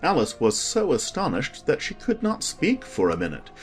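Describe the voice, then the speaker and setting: Animated male storybook narrator. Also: medium pitch, normal speed, faint music behind. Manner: expressive storytelling. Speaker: audiobook narrator. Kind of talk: scripted children's story narration.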